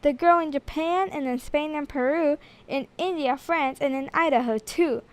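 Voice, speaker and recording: A woman's voice: high voice, moderate pace, natural voice. A woman voicing a wise, self-assured male school principal who declares and ponders, in a storybook reading. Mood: pondering.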